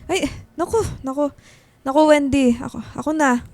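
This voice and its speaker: Stubborn, high-pitched Filipino mother. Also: moderate pace, a little mellow. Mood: evasive, flustered. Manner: exclamatory, brushing off, repeating herself. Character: stubborn, evasive, ailing mother of grown daughters. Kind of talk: Filipino radio drama acting.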